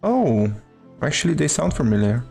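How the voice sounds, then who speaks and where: A man's voice: a little high, very animated, normal speed, vocal fry, darkish tone, soft background music. A man chatting casually as a live game streamer, hesitant and muttering to himself.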